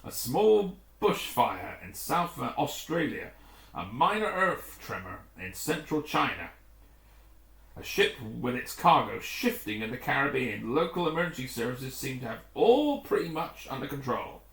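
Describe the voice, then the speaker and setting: A matter-of-fact rescue crewman voiced by a male reader delivers reports plainly with cautious optimism in storybook dialogue. His intonation is animated and the room rings with echo. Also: medium pitch, a little slow, gravelly, exaggerated voice. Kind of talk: acted adventure story dialogue. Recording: echoey, roomy home recording.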